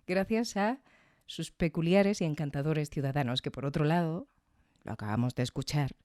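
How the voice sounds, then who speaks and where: Mellow, low-voiced woman podcaster. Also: moderate pace, no echo, clean studio sound. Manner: reflective, tag questions. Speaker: podcast host. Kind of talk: scripted commentary, conversational.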